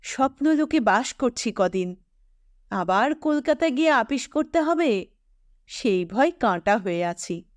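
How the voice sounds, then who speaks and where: Genial holidaying city clerk, male, female storyteller, audio story, conversational, slow, close dry sound. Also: a little high, lively intonation, dry recording.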